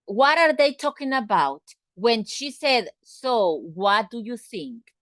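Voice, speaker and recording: A woman's voice: on the high side, very animated, slow pace, fairly bright tone, dry recording. A woman teaching an English class, patiently asking students questions and repeating words to drill pronunciation. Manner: asks students direct questions.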